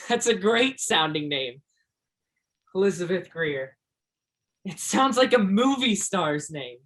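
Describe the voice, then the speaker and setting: An actor playing an earnest, curious twenty-two-year-old backwoods local in a stage play, gentle and simple in manner. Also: mid-range voice, lively intonation, takes it slowly, long pauses.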